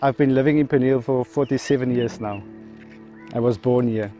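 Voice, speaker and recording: A South African man with a mellow, warm tone talks quickly with a wry humor. He sounds like a flower grower in his greenhouse. Soft music sits faintly underneath. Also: medium pitch, dull audio. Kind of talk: documentary interview.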